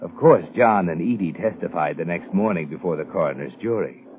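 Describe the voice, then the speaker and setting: An actor playing a sardonic, fatalistic narrator who taunts the characters, in 1940s radio suspense style. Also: male voice, low voice, lively intonation, fairly quick, slight background noise. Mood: mocking, ominous. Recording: muffled 1940s radio recording.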